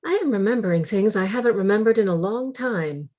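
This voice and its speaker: An actress playing a thoughtful middle-aged refugee woman in a dramatic monologue, telling her memories in a measured way. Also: mid-range voice, lively intonation, a little mellow, close to an everyday voice. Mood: reflective. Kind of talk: dramatic monologue at a staged script reading.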